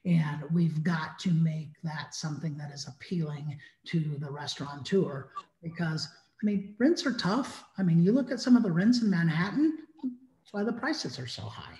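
A man's voice: fairly high pitch, lively intonation, moderate pace, a little mellow. A man in his fifties speaking emphatically as an industry panelist on a video call. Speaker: restaurant industry consultant, panelist. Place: living room at home, indoors. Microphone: computer mic on video call. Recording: dull, echoey video-call audio.

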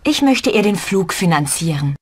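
A polite young job seeker. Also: female voice, lowish voice, big pitch swings, normal speed, dry recording, clean studio sound. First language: Japanese. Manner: polite, careful and clearly articulated. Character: young female student looking for work. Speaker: job applicant in a textbook dialogue. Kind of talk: scripted language-course dialogue.

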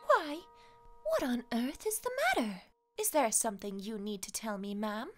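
A caring, anxious housemaid played by a woman in a gothic horror radio drama. Her voice runs a little high and rises and falls vividly, with music playing behind her. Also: very animated, moderate pace. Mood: worried, hesitant. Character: caring, anxious maid attending a woman in labour.

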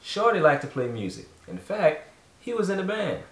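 Echoey dad reading a storybook aloud. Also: male voice, high-pitched, very animated, normal speed, a bit bright. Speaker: father reading a storybook with his children. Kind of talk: casual read-aloud to children. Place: carpeted room in a home, indoors. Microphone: distant camera microphone. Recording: roomy echo from a distant camera.

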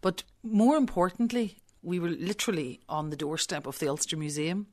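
Low, dry-sounding Belfast woman reminiscing. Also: expressive, normal speed, darkish tone, no room sound. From Belfast, Northern Ireland. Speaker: interview guest, visual artist. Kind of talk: conversational reminiscence.

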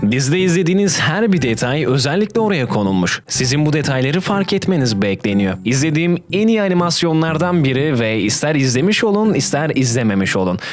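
A man's voice: fairly high pitch, sing-song, fast talker, few pauses. A man hosting a scripted video essay, with casual asides to the viewers. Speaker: video host. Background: background music.

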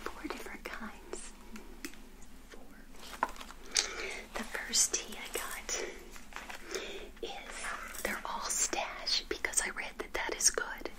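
Older woman as a slow, whispering friendly witch. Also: low-quality recording. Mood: welcoming. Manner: gentle, unhurried, repeats phrases softly. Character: friendly, eager, naive lonely witch serving tea. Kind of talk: whispered ASMR roleplay.

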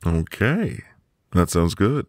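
A man playing a sweet, reassuring newlywed husband in an intimate ASMR roleplay, with a gravelly, breathy voice and a gentle manner. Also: low voice, very animated, no room sound, studio quality, exaggerated voice. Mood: gentle, admiring. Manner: slow, gentle, soothing. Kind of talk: ASMR boyfriend roleplay, intimate soft delivery.

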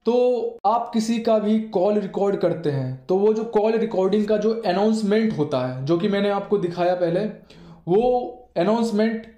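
Young indian guy doing a phone how-to, highish voice, goes over steps twice, really roomy sound. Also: lively intonation, measured pace, dark tone. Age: 20s. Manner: explanatory, repeats steps for emphasis. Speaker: tech tutorial host. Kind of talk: step-by-step phone tutorial. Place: small bare indoor room. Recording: echoey bare room.

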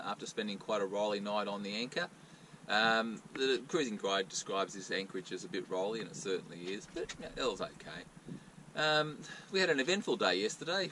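Relaxed man on a yacht. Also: on the low side, slight background noise, poor audio. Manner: relaxed, many ums and uhs. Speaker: sailing vlogger. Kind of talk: casual piece to camera. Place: outdoors on a small yacht's cockpit.

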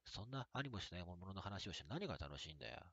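Man acting scornful, gravelly low-voiced skeptic. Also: big pitch swings, fast talker, a bit bright, dry recording, muffled recording, heavily acted. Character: scornful man who dismisses ghosts. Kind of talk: horror story monologue.

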